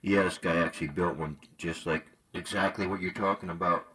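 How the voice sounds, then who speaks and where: A man with a low voice talks casually as a radio hobbyist. He is laid-back and colloquial, speaking in everyday words about equipment. The pitch sits well down in the male range. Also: fairly even intonation, brisk, a bit bright, slightly rough.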